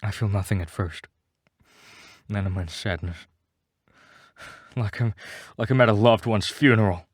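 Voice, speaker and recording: A man with a fairly low voice narrates a scripted first-person tale, recorded close and dry. He delivers it with mock-serious melodrama, treating every line as grave drama. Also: measured pace, long pauses, no echo. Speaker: story narrator.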